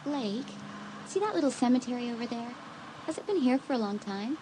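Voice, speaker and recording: A woman's voice: fairly high pitch, animated, fairly quick, warm tone, close to an everyday voice. An actress playing an anxious, wistful and sensitive teenage girl in a dramatised audiobook. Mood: uneasy. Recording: audiobook tape with faint noise.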